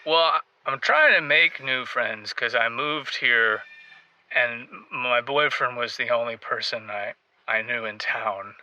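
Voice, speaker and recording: A man's voice: mid-range voice, unhurried, bright, forceful tone, dull audio. A man playing a dim, earnest, eager himbo calling in to a comedy radio show. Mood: defensive, unsure. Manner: naive, emphatic, literal. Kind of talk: scripted comedy acting.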